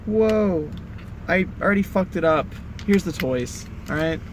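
A man's voice: high voice, animated, unhurried. A young man casually vlogging from inside a parked car, rambling and swearing with a laid-back manner, recorded on a dashboard phone. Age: young adult. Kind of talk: casual vlog chat. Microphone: phone camera on the dashboard. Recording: car air conditioning noise behind. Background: air conditioning blowing.

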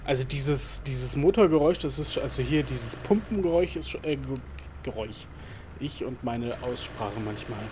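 A man's voice: medium pitch, normal speed, darkish tone, slight background noise. A man giving casual let's-play commentary, rambling with many fillers and muttering to himself. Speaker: gaming YouTuber.

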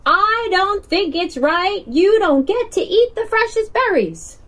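A woman's voice: very high-pitched, very animated, a little slow, fairly bright tone, pitched above the performer's own voice, exaggerated voice. A woman voicing a small, earnest, brave young female turtle in a picture book. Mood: earnest, pleading.

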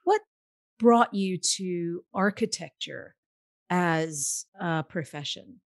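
A woman's voice: on the low side, lively intonation, slow pace, no room sound, clean studio sound. Polished woman podcast host. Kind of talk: scripted introduction and interview.

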